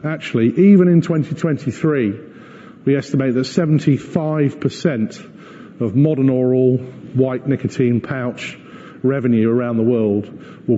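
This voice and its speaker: A man giving a corporate presentation as a company executive, explaining and arguing his points in a measured way. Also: mid-range voice, warm tone. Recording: muffled echoey hall recording.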